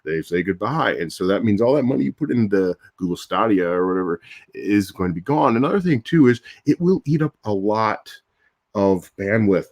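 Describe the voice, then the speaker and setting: An older man with a low voice, hosting a casual morning live stream and chatting in a rambling way, chuckling between thoughts. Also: expressive, normal speed, darkish tone. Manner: rambling, chuckles often, restarts. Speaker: YouTube host. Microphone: different audio device that lowers voice.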